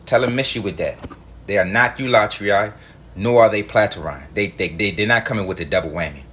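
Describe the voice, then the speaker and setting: A man's voice: lowish voice, a little fast, fairly bright tone. A man delivering a heated monologue to his viewers, emphatic and profane, repeating his points. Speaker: video host.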